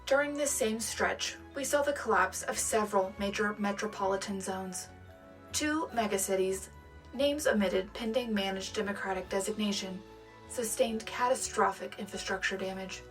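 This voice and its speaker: A woman's voice: medium pitch, normal speed, faint music behind, lightly acted, low-quality recording. A woman playing an earnest, patriotic wartime radio co-anchor, reading scripted news in a sincere, dramatic way. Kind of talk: scripted newscast narration.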